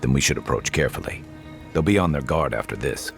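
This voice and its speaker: A man playing a gruff, wary companion in a fantasy adventure game, with a gravelly voice. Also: rumbling low, animated, fast talker, soft background music, heavily acted. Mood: wary, dry. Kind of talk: scripted fantasy game dialogue.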